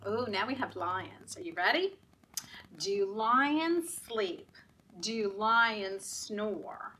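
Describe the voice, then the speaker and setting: A woman leading story time for young children in a sing-song, deliberate, encouraging way, often asking the children questions. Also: mid-range voice, expressive, pushed and bright, recorded from a distance, slight background noise, poor audio.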